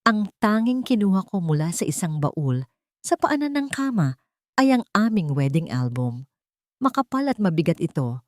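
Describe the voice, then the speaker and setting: A woman's voice: low voice, dry recording, studio quality. A woman with a Filipino accent narrating a dramatic first-person romance story from a script.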